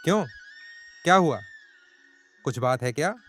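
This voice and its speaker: Male actor as feisty middle-aged village uncle, raised pitch, bossy and scolding, story drama over music. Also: lively intonation, measured pace, fairly bright tone. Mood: concerned. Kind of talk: dramatized moral story acting.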